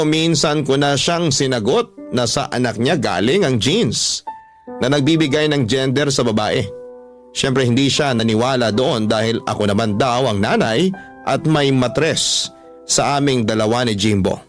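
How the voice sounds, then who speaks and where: A Filipino man narrates a scripted story in a mid-pitched voice. He reads it as a radio storyteller, with music underneath. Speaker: radio storyteller reading a letter. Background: background music.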